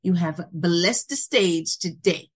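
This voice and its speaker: A woman's voice: lowish voice, slow, husky. A woman hosting a podcast, effusive and gushing with thanks and praise for her guest. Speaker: podcast host. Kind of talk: casual interview talk.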